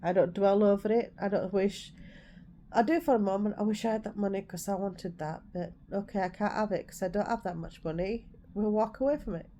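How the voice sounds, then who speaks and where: A woman in her forties with an English accent carrying a hint of Scouse, chatting through a personal story. Her voice is warm and mellow, at a middle pitch. She rambles and corrects herself as she talks, with faint hiss behind her. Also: steady tone, a little fast. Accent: English with a touch of Scouse. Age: 40s. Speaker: vlogger. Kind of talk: casual storytelling to the camera.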